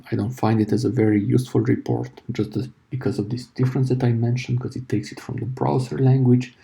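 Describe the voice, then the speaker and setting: A man casually walking through a software tutorial, explaining step by step and often correcting himself mid-sentence. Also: medium pitch, gravelly. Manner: casual, explanatory, self-correcting, thinking aloud. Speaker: tutorial instructor. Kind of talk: screen-share software tutorial.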